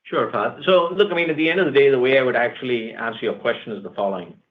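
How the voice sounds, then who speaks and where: A man, a company executive, answering analysts on an earnings call, talking quickly with restarts and stock phrases. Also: medium pitch. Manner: fast, repeats words, leans on "look" and "at the end of the day". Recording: tinny phone-line audio.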